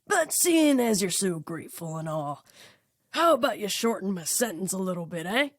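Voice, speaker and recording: A woman's voice: medium pitch, very animated, moderate pace, no echo, studio quality. A woman voicing a cheeky, wheedling male poltergeist in an audio story, with a sly, slangy drawl. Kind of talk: fan fiction audio story character voice.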